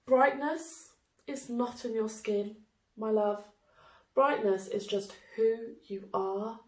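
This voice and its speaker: A woman voicing a gentle, loving storybook mother, soothing and earnest as she comforts her child. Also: a little high, steady tone, slow pace. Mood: tender, reassuring. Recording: roomy, echoey indoor sound.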